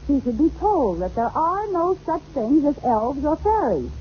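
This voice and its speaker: A woman's voice: high voice, sing-song, moderate pace. A woman playing a skeptical, wisecracking suburban wife in a wry 1940s radio comedy style. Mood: indignant. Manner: wry, sarcastic. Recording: muffled, very noisy old radio recording.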